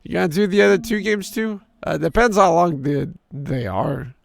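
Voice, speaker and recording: A hoarse man in his thirties with a sore throat, streaming a game and reading its story text aloud in a deeper storyteller voice. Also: expressive, normal speed, no room sound. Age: 30s. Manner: drops into a deep storyteller voice for the narration.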